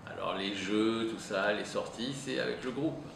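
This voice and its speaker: A man's voice: fairly low pitch, moderate pace, creaky. A man whose first language is Italian, answering as a psychoanalyst in an interview, thinking aloud with frequent hesitations. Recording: roomy echo, distant mic, noisy.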